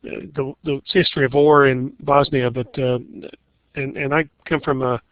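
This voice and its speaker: A man's voice: medium pitch, flat delivery, normal speed, no echo. Man moderating a web call, muffled. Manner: hesitant, many fillers and restarts. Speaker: webinar moderator. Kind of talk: webinar panel moderation. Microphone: computer mic on a web call.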